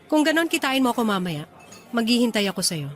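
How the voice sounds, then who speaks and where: A woman's voice: a little high, sing-song, quick pace, faint music behind. A woman playing a cool, commanding jealous lover in a radio drama, speaking with pointed, sardonic control. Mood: cold, confronting. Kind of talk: Tagalog radio drama acting.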